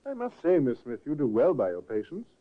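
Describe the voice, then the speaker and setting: A man's voice: mid-range voice, huge pitch swings, fairly quick. A polite, solicitous nephew in a 1950s radio play, his intonation rising and falling freely. The sound is thin and narrow, like an old restored broadcast. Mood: courteous. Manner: courteous and solicitous. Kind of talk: 1950s radio drama acting.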